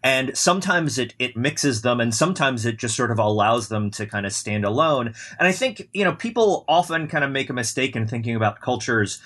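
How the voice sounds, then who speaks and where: A man's voice: mid-range voice, expressive, a little fast, few pauses, fairly bright tone. Man musing in hedging, rambling talk. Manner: rambling, many hedges and restarts. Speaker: discussion panelist. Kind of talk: casual podcast discussion.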